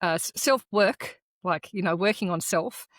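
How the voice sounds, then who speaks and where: A woman whose was Croatian, co-hosting a podcast and telling a personal story in an earnest, reflective way with halting restarts. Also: lowish voice, no echo, clean studio sound. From Australia. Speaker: podcast co-host. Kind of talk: casual conversational podcast chat.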